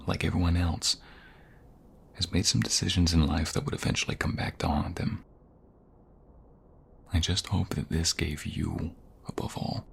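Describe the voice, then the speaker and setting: A man playing a protective, tender husband in an ASMR roleplay, speaking softly and intimately, often near a whisper. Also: low voice, gravelly, a little hiss or hum, full character voice. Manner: soft, intimate, reassuring, often dropping to a whisper.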